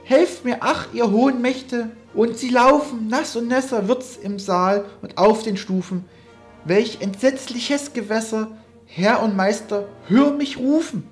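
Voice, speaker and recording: A woman's voice: a little high, measured pace, faint music behind, lightly acted. A woman voicing a young male sorcerer's apprentice, cocky and easily panicked, in a dramatic poetry recitation. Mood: desperate, panicked. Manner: theatrical, exclamatory.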